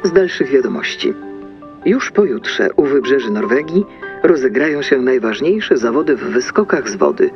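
Formal newsreader through a radio filter. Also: male voice, medium pitch, expressive, normal speed. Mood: brisk, formal. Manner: announcer-like, polished. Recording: dull radio sound, music behind.

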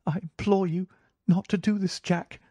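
A man's voice: on the high side, sing-song, moderate pace, mellow, husky, dry recording, voice pushed up. A man voicing a frightened, secretive wife in an audiobook, pleading in an urgent, emotional manner. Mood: desperate pleading. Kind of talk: audiobook character dialogue.

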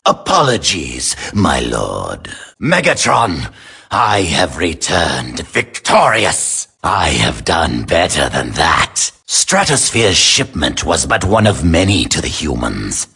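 An actor voicing a vain, treacherous robot villain in a video game, with a raspy, screechy voice and a boastful, theatrical sneer. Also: male voice, low voice, big pitch swings, slow, bright, forceful tone, exaggerated voice. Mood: servile, scheming.

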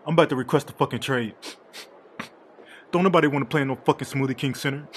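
A man's voice: mid-range voice, quick pace, a little hiss or hum, lightly acted. A man voicing a sulky young basketball draft pick, grumbling and swearing in a comedy dub. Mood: disgruntled. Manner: grumbling, profane.

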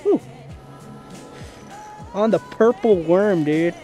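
A man's voice: high-pitched, slow pace, over music. A young man vlogging while fishing from the rocks of a river, explaining his gear and whooping when he lands a fish, recorded on a head-mounted camera. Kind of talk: casual outdoor fishing vlog. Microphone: head-mounted action camera.

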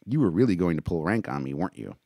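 A man's voice: low voice, big pitch swings, quick pace, gravelly, dark tone, dry recording, clean studio sound, heavily acted. A man voicing a troubled, hot-headed, lovelorn army private in an audiobook. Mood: accusing. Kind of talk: audiobook character acting.

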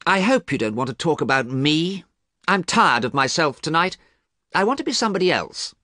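A man reading as a vain, restless young gentleman, his voice petulant and then hard and cruel. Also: fairly high pitch, big pitch swings, normal speed, no echo, studio quality, voice pushed up. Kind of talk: audiobook character reading.

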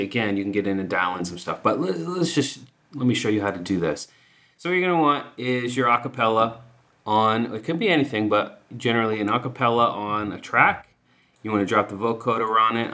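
Bright-voiced man giving a casual tutorial. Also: on the low side. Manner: informal, explanatory, thinking aloud. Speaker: music production tutorial host.

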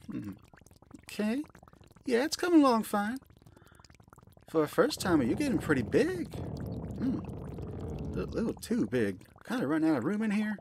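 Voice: medium pitch, background noise. An amateur actor voicing a flirty, motherly pink balloon elephant who serves at a soda bar, with a folksy, coaxing manner in an audio play. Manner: folksy, coaxing, calls listener sweetie and dear. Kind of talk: amateur audio play, second-person roleplay monologue.

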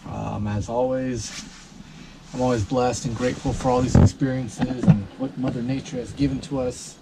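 A man's voice: medium pitch, background noise. Tired man talking to camera at night. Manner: tired, calm and grateful, with many fillers. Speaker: fishing charter captain. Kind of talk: casual vlog talk to camera. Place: parked vehicle at night. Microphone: action camera mounted close.

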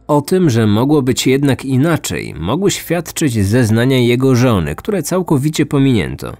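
A man hosting a true-crime podcast, narrating from a script in measured storytelling style. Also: mid-range voice, very animated, dark tone, no room sound. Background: background music.

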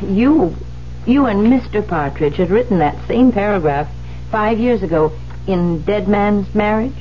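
An actress playing a dutiful, polite secretary in a 1970s radio drama. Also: female voice, mid-range voice, lively intonation, a little slow, close to an everyday voice. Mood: polite, matter-of-fact. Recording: muffled, noisy 1970s radio broadcast.

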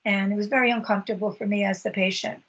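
A middle-aged woman talks on a video call. Her voice is husky and breathy, and her telling is earnest and halting. The sound is dull and lo-fi. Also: medium pitch, fairly even intonation, fairly bright tone. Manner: earnest, halting, many fillers. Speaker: patient advocate. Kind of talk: personal story in a video meeting.

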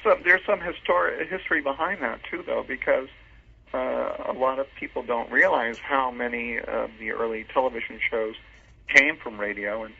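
A man's voice: mid-range voice, moderate pace, vocal fry, slightly rough. A man talking as an interview guest in a conversational, emphatic way, acting out his own thoughts. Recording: muffled, tinny phone line.

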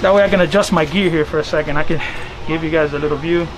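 A young man, live-streaming from a boat show in a relaxed, slangy way, worn out by the heat. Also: fairly high pitch, fast. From Miami, Florida. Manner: casual, excitable, slangy, drops Spanish phrases. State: tired from the heat. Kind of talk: live-stream walkaround chat. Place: crowded outdoor boat show docks and boat cabins. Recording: busy crowd noise behind. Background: crowd chatter.

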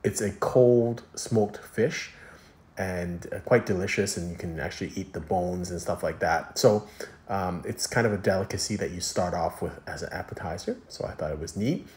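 A man's voice: deep voice, moderate pace, a little mellow. A man giving a casual food review voice-over in a rambling, hesitant, explanatory way.

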